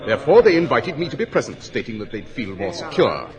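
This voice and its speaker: A man's voice: mid-range voice, sing-song, natural voice. A man playing a commanding master detective with formal, precise delivery in a 1940s radio drama. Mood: composed, explaining. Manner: formal, deliberate, authoritative. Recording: old radio recording, crowd noise behind.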